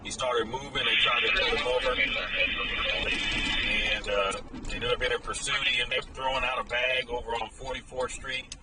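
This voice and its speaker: A man's voice: mid-range voice, little pitch movement, normal speed, over loud music. A man giving casual, matter-of-fact live commentary as a streamer, explaining things as he goes from a car out on the streets. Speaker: live stream host monitoring police scanner. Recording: noisy car and street, distant mic.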